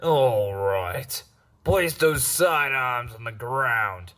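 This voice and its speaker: An actor playing a hostile, commanding armed sentry with a curt manner in a sci-fi military audio drama. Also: male voice, mid-range voice, animated, drawn-out words, a bit bright. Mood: hostile, stern. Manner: curt, barking orders.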